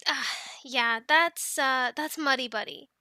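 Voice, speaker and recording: High, bright young Viking woman, acted by a woman. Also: unhurried, no room sound, clean studio sound, full character voice. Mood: friendly, welcoming. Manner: chatty, affectionate coaxing and explaining. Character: cheerful young female Viking dragon keeper, tender with her animals. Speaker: amateur audio roleplay performer. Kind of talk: ASMR roleplay acting, speaking to the listener.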